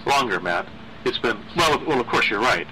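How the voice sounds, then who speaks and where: A man's voice: medium pitch, lively intonation, noisy background, close to an everyday voice. A man reading a horror story as an uneasy married mother who forces polite, brittle cheer. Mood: uneasy, flustered. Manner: brittle, politely chirpy, halting.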